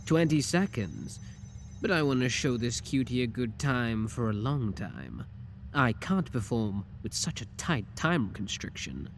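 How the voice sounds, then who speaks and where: A man's voice: medium pitch, big pitch swings, a little slow, slight background noise. A male voice actor playing a shameless, flirty tiny clone scientist in an ASMR audio roleplay, teasing and suggestive in a theatrical way. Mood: playfully pleading.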